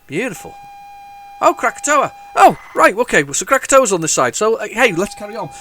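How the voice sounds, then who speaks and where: A man hosting a retro gaming video, talking casually while loading old tapes, with a head cold and a rambling, restart-filled way of talking that jumps into excited bursts. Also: sits high, fairly quick, slight background noise. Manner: rambling, chatty, lots of restarts and sudden excited outbursts. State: has a head cold, sniffly.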